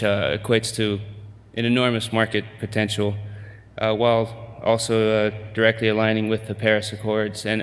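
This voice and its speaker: A man in middle age giving a conference pitch, hesitant with many fillers and restarts. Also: big-room sound. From United States. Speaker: company presenter.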